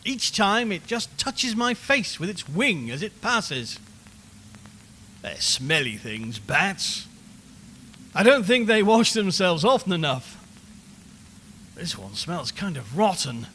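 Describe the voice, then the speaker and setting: Radio drama reporter, male actor: uneasy, wry, chatty man, high bright voice, dramatised live broadcast. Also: very animated, takes it slowly, long pauses, full character voice. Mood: wry, jittery. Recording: long reverberant hall, background noise.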